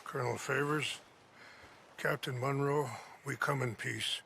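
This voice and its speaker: Gravelly, dignified, weary chief. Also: male voice, medium pitch, steady tone, a little slow, bright, forceful tone, a little hiss or hum. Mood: calm, deferential. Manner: polite, formal phrasing.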